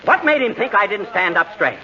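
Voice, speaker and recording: An actor playing a blustering, wisecracking American husband in a 1940s radio comedy. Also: male voice, sits high, sing-song, a little fast, pushed and bright, exaggerated voice. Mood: bantering. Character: blustering, irritable, wisecracking small-town husband. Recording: dull, hissy 1941 radio recording.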